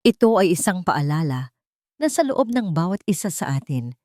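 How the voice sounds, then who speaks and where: A woman's voice: low voice, warm tone, dry recording, clean studio sound. A woman reading a scripted dramatic first-person story as an audiobook narrator.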